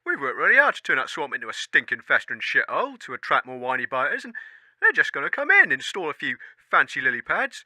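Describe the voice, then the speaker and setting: Man playing a high-pitched, indignant local griper. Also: wildly swooping pitch, fast, bright, forceful tone, no room sound, exaggerated voice. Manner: ranting, sweary grumbling. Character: indignant local townsman griping about newcomers. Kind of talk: comic vox pop in radio sketch.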